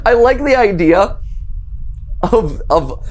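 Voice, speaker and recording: A man's voice: high voice, expressive, slow, darkish tone, rough recording. A man from Ohio in his thirties, hosting a casual reaction show and rambling animatedly, with frequent restarts and chuckles. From Ohio, USA. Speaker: host.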